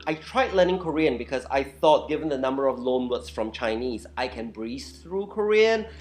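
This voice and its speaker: An opinionated vlogger of unclear sex giving an explainer talk in a candid, blunt, informal way, stressing points with dramatic repetition. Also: mid-range voice. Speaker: vlogger and language enthusiast.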